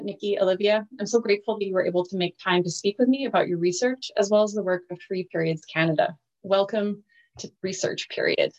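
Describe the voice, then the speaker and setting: A woman hosting a podcast interview over a video call, measured and grateful toward her guests. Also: fairly low pitch, a little mellow, highs cut off. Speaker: podcast host.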